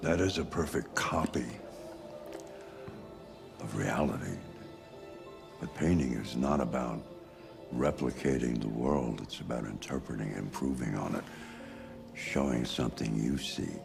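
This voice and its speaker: Game role, old male painter: fatherly, wise, frail, very deep gravelly voice, music underneath. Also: full character voice. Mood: instructive. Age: older. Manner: slow, reflective, gently commanding. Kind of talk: naturalistic video game acting.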